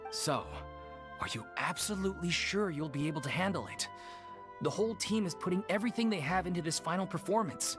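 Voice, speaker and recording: A man's voice: high voice, brisk, over music, lightly acted. A man playing a worried, stern but conciliatory theatre troupe leader in a video game. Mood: stern, resigned.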